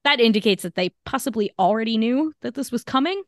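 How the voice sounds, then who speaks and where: A woman co-hosting a casual podcast, talking in a halting, measured way with many fillers and restarts. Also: on the high side, animated, dry recording, clean studio sound. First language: English. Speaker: podcast co-host.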